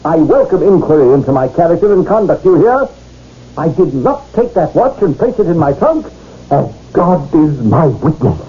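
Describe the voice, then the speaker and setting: An indignant, theatrical gentleman protesting his innocence in an old radio play. His highish voice swings widely in pitch, heard through a muffled, noisy recording. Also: sing-song, moderate pace, breathy edge. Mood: outraged protest. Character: indignant, hard-up gentleman wrongly accused of theft. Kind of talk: 1970s radio drama acting.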